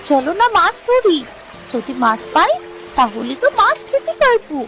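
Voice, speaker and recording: A woman voicing an eager young village girl in a cartoon, excitable as she talks to her mother. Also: very high-pitched, normal speed, music in the background. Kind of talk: cartoon voice acting.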